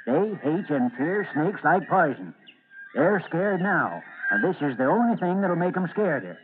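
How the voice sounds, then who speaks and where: A man's voice: on the high side, sing-song, normal speed. An actor playing a folksy, cocky old carnival animal man, teasing and rambling, in old-time radio drama style. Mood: scheming, confident. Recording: tinny, noisy old radio recording.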